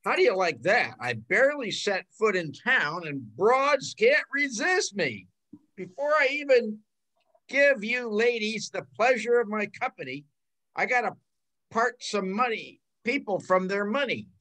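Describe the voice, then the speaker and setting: A man's voice: sits high, big pitch swings, unhurried, sharp tone, exaggerated voice. An older man playing a brash, hard-drinking traveling salesman who fancies himself a ladies' man, in an old-time radio drama. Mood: cocky. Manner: brash, slangy wisecracking. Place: bedroom at home. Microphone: video call.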